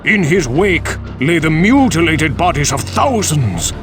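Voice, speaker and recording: Higher-pitched, slow, grim lore narrator. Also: male voice, very animated, music in the background. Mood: foreboding. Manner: dramatic, deliberate, foreboding. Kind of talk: scripted dark fantasy game narration.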